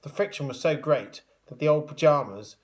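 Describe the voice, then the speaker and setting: A man's voice: a little high, normal speed. Man reading a children's story expressively. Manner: expressive, dramatic emphasis. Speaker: primary school teacher reading aloud. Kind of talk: animated children's storybook narration.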